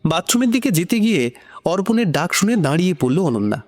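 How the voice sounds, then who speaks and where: A man's voice: high voice, sing-song, fairly quick, faint music behind. A man narrating an emotional romantic story from a script.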